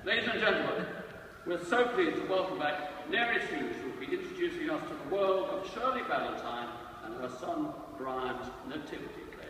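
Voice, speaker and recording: A man's voice: on the high side, animated, moderate pace, fairly bright tone. A man giving a formal stage introduction as a presenter. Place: large church, live concert. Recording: echoey church, distant, noisy.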